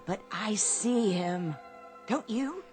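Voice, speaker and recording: A woman's voice: medium pitch, expressive, slow pace, a little mellow, faint music behind. A woman acting in a radio drama as a shopkeeper's worried, exasperated but loyal wife. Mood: bewildered.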